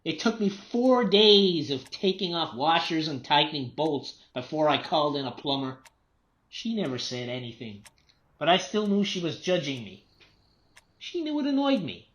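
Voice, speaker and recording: An actor in a radio play playing a tense, unravelling writer and father, agitated, irritable and repeating himself haltingly. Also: on the high side, very animated, a bit bright. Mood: bitter, ranting.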